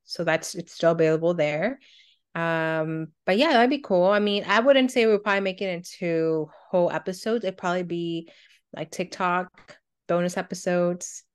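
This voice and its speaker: A woman's voice: on the low side, normal speed, clear, resonant tone. A woman co-hosting a podcast, chatting casually and reacting her way through a countdown list. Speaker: podcast co-host.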